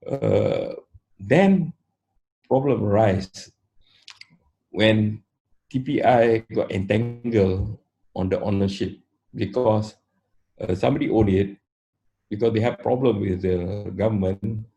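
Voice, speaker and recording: A Malaysian man in his sixties, giving an interview in an unhurried, matter-of-fact way with many "uh" pauses. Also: fairly low pitch, dark tone, poor audio. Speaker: interview guest, company founder. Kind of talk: relaxed interview storytelling.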